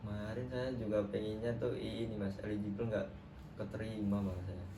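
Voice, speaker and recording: A man's voice: on the low side, little pitch movement, brisk. A young man acting as a trainee counselor, chatting casually and friendly with lots of fillers. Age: young adult. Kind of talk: role-play counseling session. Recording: roomy, distant mic with clear background noise.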